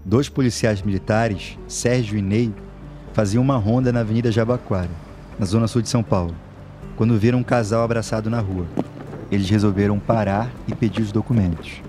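A man co-narrating a true-crime podcast, calmly explaining case details. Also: on the low side, brisk, music in the background. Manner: calm explanatory storytelling.

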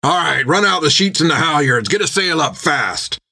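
A man voicing a gruff, foul-mouthed pirate captain who barks orders bluntly in an audiobook adventure. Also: fairly high pitch, lively intonation, normal speed, sharp tone, pitched above the performer's own voice, full character voice. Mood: commanding.

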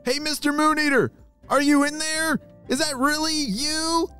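A woman's voice: on the low side, big pitch swings, normal speed, darkish tone, faint music behind, pitched above the performer's own voice. A woman voicing an eager, curious young boy in a children's story, with exclamations and hesitant stammers. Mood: excited.

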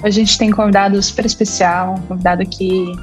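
A woman co-hosting a podcast, introducing the guest in a steady way. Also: mid-range voice, fairly quick, faint music behind. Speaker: podcast co-host.

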